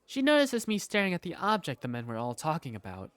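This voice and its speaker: Animated first-person visual novel narrator. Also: medium pitch, fast talker, no echo. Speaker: narrator and lead character.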